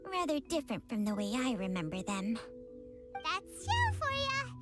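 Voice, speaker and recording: A tiny game fairy with an extremely high, childlike voice. Her delivery swings wildly up and down, cheeky and excitable, with music clearly playing behind her. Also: normal speed. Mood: musing, pleased. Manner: exclaiming, cheeky, refers to self in third person. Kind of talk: video game voice acting.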